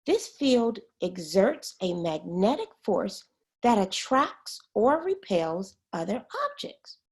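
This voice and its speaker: Slow, animated woman reading to children. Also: mid-range voice. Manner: expressive, explains words as she goes. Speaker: teacher reading a book aloud. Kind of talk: read-aloud of a children's science book.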